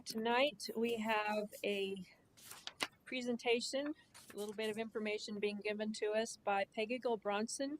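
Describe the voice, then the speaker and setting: A woman's voice: a little high, steady tone, normal speed, noisy background. A woman chairing a school board meeting in a conference room, casual and joking as she runs the agenda. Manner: casual, plain-spoken and joking.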